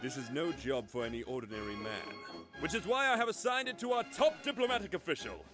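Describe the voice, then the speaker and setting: A man playing a commanding, scheming middle-aged ruler in an anime parody, with an imperious, deliberate, dramatic delivery. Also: a little high, lively intonation, natural voice. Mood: stern, official. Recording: music behind.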